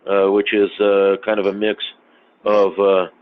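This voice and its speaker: A man in casual podcast conversation, talking haltingly with many fillers and restarts. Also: on the low side, monotone. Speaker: podcast guest. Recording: tinny phone-like sound, faint noise.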